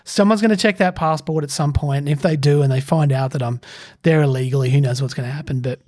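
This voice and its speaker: A man co-hosting a podcast, his voice sitting a bit higher than most men's. He tells stories in a loose, rambling way, circling back with fillers and restarts. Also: fast, a little mellow. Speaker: podcast co-host. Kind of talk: casual storytelling chat.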